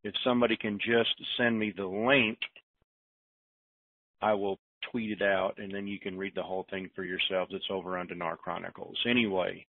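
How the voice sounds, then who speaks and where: A man hosting an informal conference call in a halting way, talking and reading aloud over the telephone. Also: deep voice, little pitch movement, dry recording. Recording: thin, narrow phone line.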